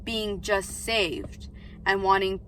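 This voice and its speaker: Chatty teenage girl, bright voice. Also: mid-range voice, fairly even intonation, slow, a little hiss or hum. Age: late teens. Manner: chatty, rambling with many "like"s and self-quotes. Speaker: vlogger. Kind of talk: casual talking-to-camera vlog. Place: parked car, outside a coffee shop.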